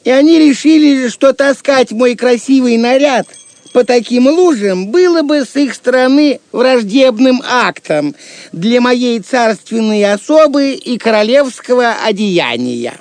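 A cheeky medieval fool in an audio drama, pitched very high and swooping up and down. He teases with playful, mock-royal pomposity. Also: lively intonation, unhurried, darkish tone, no echo, full character voice. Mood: mock-grand, playful. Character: Wamba, cheeky male jester, playfully pompous.

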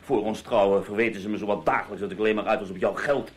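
A man's voice: lowish voice, steady tone, fast, natural voice. A man playing a sarcastic, cynical husband in an audio drama, talking down to his wife with condescending endearments. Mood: scornful.

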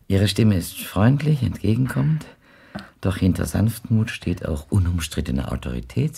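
An actor playing a blind male visitor in a radio play, formal and flowery, courteous yet insinuating, with a gravelly, husky voice. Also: deep voice, dark tone, full character voice. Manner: formal, flowery, elaborately polite. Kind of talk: German radio play acting.

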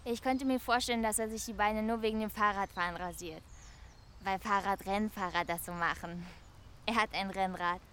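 A woman's voice: medium pitch, normal speed, a bit bright, a little hiss or hum, lightly acted. A woman playing a lonely young woman in love, confiding hesitantly and musingly in a German radio play. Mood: wistful musing. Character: lonely, wistful young woman quietly in love with her neighbour.

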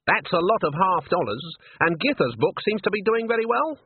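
Man voicing a stern high-pitched old witch. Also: lively intonation, normal speed, slightly rough, no echo, phone-quality sound, heavily acted. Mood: pointed. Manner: blunt, appraising. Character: stern, proud old witch woman, quietly touchy.

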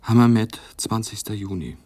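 An actor playing a lonely, brooding writer voicing a letter in a radio play, intimate and reflective, with a husky voice. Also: male voice, fairly low pitch, normal speed, no room sound. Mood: wistful, reflective. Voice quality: husky, gravelly, mellow.

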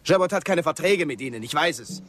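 Actor as fast-talking, frightened railway worker. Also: male voice, fairly high pitch, expressive, natural voice. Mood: anxious. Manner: urgent, agitated, grim. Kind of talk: tense radio drama dialogue.

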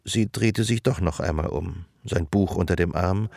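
A man acting as the measured, reflective narrator of a literary radio drama about love. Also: low-pitched, creaky, a little mellow, slight background noise. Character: omniscient male narrator of a literary love story, observant. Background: music.

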